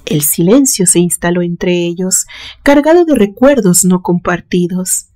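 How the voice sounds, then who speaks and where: A woman's voice: on the low side, expressive, a little slow, mellow. A woman narrating a scripted suspense story in a solemn, dramatic storytelling manner. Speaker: narrator, literary channel host.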